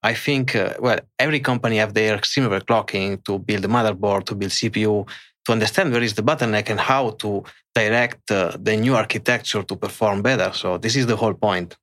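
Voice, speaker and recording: A middle-aged man with an Italian accent talks in a fairly low voice during a casual interview. He speaks haltingly, pausing on fillers and restarting his sentences. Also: fairly bright tone. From Italy. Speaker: guest, extreme overclocker.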